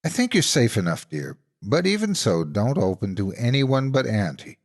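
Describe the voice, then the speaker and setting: A man voicing a calm, practical mother of two boys, speaking reassuringly. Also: medium pitch, moderate pace, pitched above the performer's own voice.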